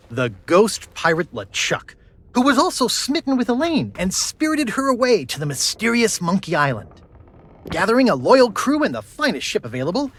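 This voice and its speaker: A man's voice: a little high, a bit bright, heavily acted. A male actor playing a vain, boyish, self-important pirate hero with theatrical delivery in a video game. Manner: pompous, theatrical. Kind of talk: video game cutscene acting.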